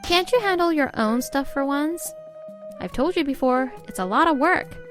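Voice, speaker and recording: Woman acting fed-up young wife w/ baby, high voice, really up and down, comic dub style. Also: animated, moderate pace, soft background music. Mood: exasperated, firm. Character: exasperated, overburdened young wife and mother. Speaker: voice actor in a comic dub, narrating and playing characters. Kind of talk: scripted dramatic narration and dialogue.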